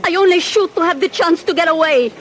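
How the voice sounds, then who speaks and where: An actress playing a fiery, defiant young Mexican woman who speaks sharp, broken English with a Mexican Spanish accent in a 1940s radio drama. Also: extremely high pitch, fast, slight background noise. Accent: Mexican Spanish (acted).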